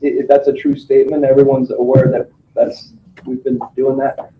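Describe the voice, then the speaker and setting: A man's voice: mid-range voice, monotone, moderate pace, slight background noise. Distant man in a meeting room. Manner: conciliatory, repeating himself. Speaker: agency representative at a public meeting. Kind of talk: defensive meeting discussion. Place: large conference room. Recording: distant, muffled room recording.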